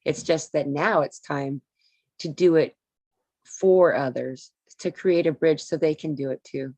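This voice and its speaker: Woman, low voice, earnest spiritual speaker, long flowing explanations, dry close sound. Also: unhurried, darkish tone, no room sound. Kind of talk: casual spiritual talk.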